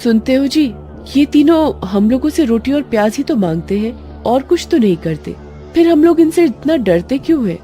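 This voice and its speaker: Woman acting a caring village wife, raised pitch. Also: expressive, normal speed, warm tone. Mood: puzzled. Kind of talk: dramatised storybook dialogue. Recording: music under the voice.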